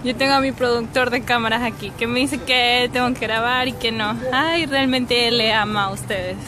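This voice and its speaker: A woman's voice: fairly high pitch, fairly even intonation, moderate pace, noisy background. A young woman with an Ecuadorian Spanish accent, chatting casually and giggly as she vlogs outdoors on a handheld camera. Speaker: vlogger. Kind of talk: casual vlog chat. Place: outdoors on a riverside path at night. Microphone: handheld phone or camera. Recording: music behind, handheld outdoor recording.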